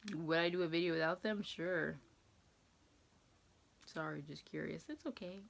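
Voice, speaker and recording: A woman's voice: deep voice, normal speed, gravelly. A woman in her twenties with an American accent, chatting casually as she answers viewer questions, rambling and hesitant as she thinks aloud. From New England, USA. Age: 20s.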